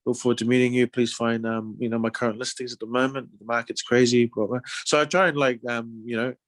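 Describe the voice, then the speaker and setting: A man with a New Zealand accent speaks as a real estate agent in a relaxed, conversational way. His phrasing is friendly and loose, full of small verbal padding. The sound is dry, with very little room around it. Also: mid-range voice, quick pace, dry recording. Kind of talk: casual, conversational sales talk.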